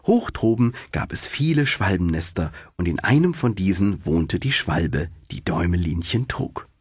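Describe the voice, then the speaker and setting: A man narrating a fairy tale in an expressive storytelling manner, as for an audiobook. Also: low voice, normal speed, breathy edge, no room sound, clean studio sound.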